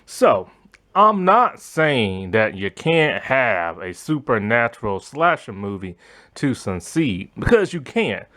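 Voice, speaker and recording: Casual reviewer, man, voice going hoarse and strained, animated and full of fillers. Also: slow pace. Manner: rambling, lots of fillers, animated pitch swings. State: losing his voice, strained. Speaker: movie review channel host. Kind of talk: casual opinion monologue.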